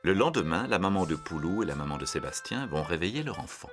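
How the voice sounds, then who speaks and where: A man narrating a scripted children's story in measured, simple sentences. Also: sits low, big pitch swings, fairly bright tone, faint music behind.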